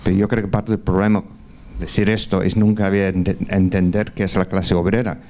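A man in his sixties speaks from the floor of a large lecture hall, holding a handheld mic. His voice sits low, and his Spanish, not his first language, stumbles along with frequent fillers and restarts. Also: flat delivery, brisk, dark tone, a little hiss or hum. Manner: hesitant, many fillers and restarts. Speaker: audience member at a conference. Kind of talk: question from the floor to a panel. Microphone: handheld mic, standing in the audience.

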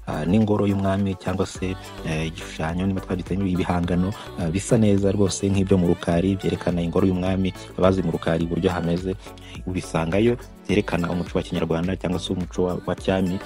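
A man's voice: low voice, a little mellow. A Rwandan man narrating a tour video, talking on with many restarts and fillers. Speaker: video presenter.

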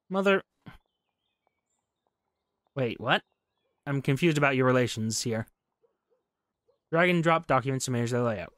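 A man's voice: medium pitch, a bit bright, no room sound. A man hosting a game playthrough, giving casual, musing commentary with wry asides into a close microphone. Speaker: gaming video host. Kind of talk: casual game playthrough commentary, reading on-screen text aloud. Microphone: close microphone, voice-over.

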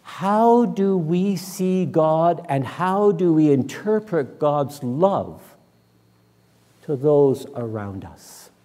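Male minister, 60s, solemn sermon delivery, unhurried, slightly high-set voice, recorded in a resounding church. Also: expressive, mellow. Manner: measured, solemn, deliberate phrasing. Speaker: minister leading worship. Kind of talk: sermon and public prayer. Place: church sanctuary, at the front and pulpit. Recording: reverberant church hall.

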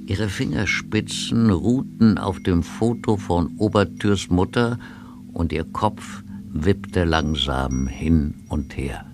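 An actor narrating a youth mystery audio drama as a measured storyteller. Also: male voice, sits low, noisy background.

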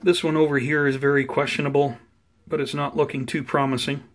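A man's voice: mid-range voice, little pitch movement, brisk, slight background noise. Casual, conversational man narrating a plant update. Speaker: host of a plant-growing video channel. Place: indoors at home beside potted seedlings.

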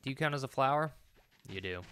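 Bright-voiced man muttering through a game. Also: medium pitch, animated, fast talker, slight background noise. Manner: muttering to himself, swears casually. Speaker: gaming video host. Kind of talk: casual let's play commentary. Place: small indoor room at home. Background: game music.

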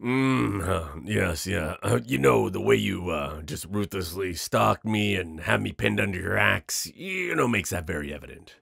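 A man playing a cocky, sarcastic werewolf outlaw in an audio roleplay, mocking and flippant with gravelly, hesitant asides. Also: sits low, expressive, unhurried, few pauses, a bit bright, dry recording, studio quality, exaggerated voice. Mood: nervous, sarcastic.

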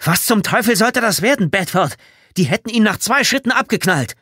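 An alarmed young airman in a prison camp, played by a male audiobook narrator. The voice is raised high and loud, urgent and indignant, with wide swings of pitch and a very dry sound. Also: expressive, fairly quick, slightly rough, dry recording, exaggerated voice. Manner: urgent, forceful shouting and scolding. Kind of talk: audiobook character acting.

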